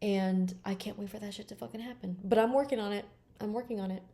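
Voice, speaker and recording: A woman's voice: mid-range voice, fairly even intonation, fast, mellow. A woman in her late twenties talking bluntly and emphatically in a candid personal vlog, swearing freely. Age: 20s. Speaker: vlogger.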